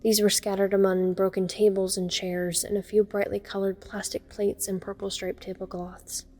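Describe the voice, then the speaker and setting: A woman's voice: mid-range voice, flat delivery, moderate pace, a little mellow, lightly acted. A woman playing a teenage girl who narrates her horror ordeal in the first person, observant and uneasy. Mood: uneasy, watchful. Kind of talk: first-person dramatic audio story narration.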